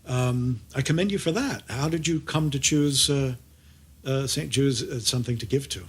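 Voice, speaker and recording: Man, interviewer, husky, medium pitch, casual conversation, hesitant effusive phrasing. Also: normal speed. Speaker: interviewer and show host.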